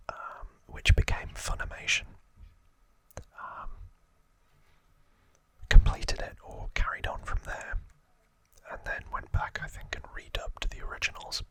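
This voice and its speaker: A man hosting ASMR, whispering informal trivia narration in a hushed, rambling way with casual asides. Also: takes it slowly. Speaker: ASMR host.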